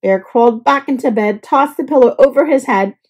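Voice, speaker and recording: A woman reading a children's picture book aloud in an expressive, scripted storytelling style. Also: high voice, brisk. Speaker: storybook reader.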